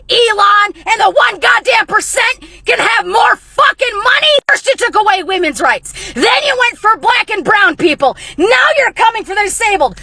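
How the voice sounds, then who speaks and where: Hoarse furious woman shouting a rant. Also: very high voice. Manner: furious profane shouting, voice cracking near tears. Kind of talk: angry political rant to camera.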